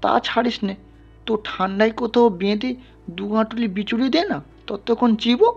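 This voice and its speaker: A man voicing an angry, scornful village Brahmin who hectors a poor man loudly in an audio story. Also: high voice, normal speed, gravelly, breathy edge, muffled recording, soft background music, voice pushed up, full character voice. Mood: grudging. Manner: loud, scolding, hectoring.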